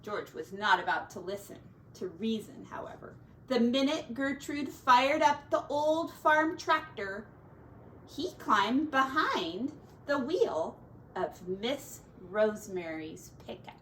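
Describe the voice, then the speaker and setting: Female teacher for children's read-aloud: high, expressive, unhurried, folksy, recorded a little far from the mic with faint noise. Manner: expressive, drawn-out words, folksy asides to young listeners. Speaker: teacher reading a storybook aloud. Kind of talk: animated children's storybook read-aloud with chatty asides.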